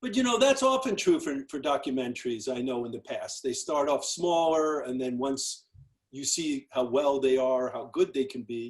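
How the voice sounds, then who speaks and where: A man's voice: medium pitch, lively intonation, fairly quick. A man moderating a film festival Q and A, asking questions and backing up the guest with frequent yeahs. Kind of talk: interview questions.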